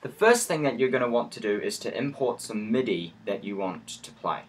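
A man presenting a software tutorial in a step-by-step narration. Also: medium pitch, expressive, a little fast, a little hiss or hum. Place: indoor voice-over for screen recording.

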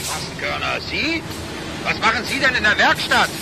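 An actor playing a brisk, impatient male boss in a radio crime drama, urgent and bossy. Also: high voice, big pitch swings, vocal fry, noisy background, exaggerated voice.

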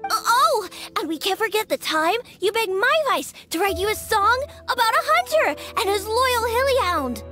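Very high-pitched feisty little cat girl. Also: big pitch swings, moderate pace, few pauses, music in the background. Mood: resigned, teasing. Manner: scolding, long-suffering, matter-of-fact. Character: small feisty young cat-eared girl, exasperated daughter. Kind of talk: video game voice acting.

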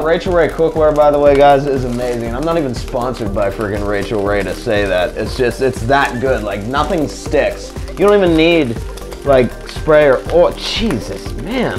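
A young man talks his viewers through a stir-fry in a home kitchen, loose and slangy, like he's chatting with a friend. His voice sits at an ordinary middle pitch. Faint music plays under him, and a pan sizzles. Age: young adult. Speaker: vlogger. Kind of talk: casual cooking vlog.

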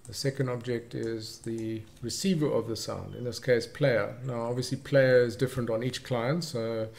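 A man presenting a casual software tutorial, explaining things and defining terms as he goes. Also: medium pitch, steady tone, moderate pace, vocal fry.

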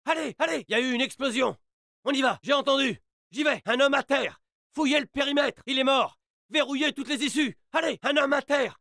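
An actor playing an alert, aggressive soldier in a video game, giving clipped military callouts. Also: male voice, extremely high pitch, fairly quick, vocal fry, sharp tone, no room sound, studio quality, heavily acted. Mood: urgent, commanding. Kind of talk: video game battle chatter voice lines.

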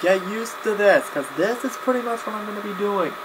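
Rambling young man vlogging over background noise. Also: high-pitched. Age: young adult. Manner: rambling, halting, backtracks and repeats phrases. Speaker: video blogger. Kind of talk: casual vlog announcement to camera. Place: small cluttered home room, indoors. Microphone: webcam at desk distance. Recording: clear background noise, webcam-grade audio.

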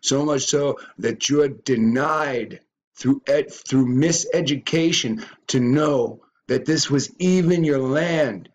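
A man's voice: mid-range voice, slow. A man giving a ranting reaction commentary on a video, stumbling and repeating words as he goes.

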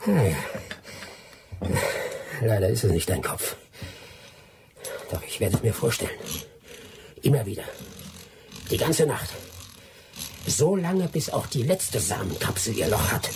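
Bitter, animated vengeful adventurer. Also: male voice, mid-range voice, slow, pauses often, heavy background noise. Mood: gloating, menacing. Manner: theatrical, muttering and gloating. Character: bitter vengeful male adventurer in colonial Brazil, scheming and sardonic. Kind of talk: radio drama acting with first-person narration.

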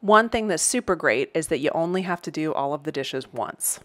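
A woman's voice: deep voice, animated, fairly quick. A woman in her forties giving casual, practical meal prep advice to camera from her home kitchen. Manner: conversational, practical, upbeat. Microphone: webcam or laptop at close range. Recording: echoey room sound.

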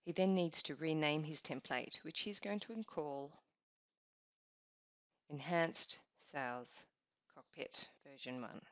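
A woman narrating a scripted software walkthrough, explaining each step in an even, instructional way. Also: low-pitched, slow pace, pauses often, no echo. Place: voice-over over screen recording. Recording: dull lo-fi voice-over.

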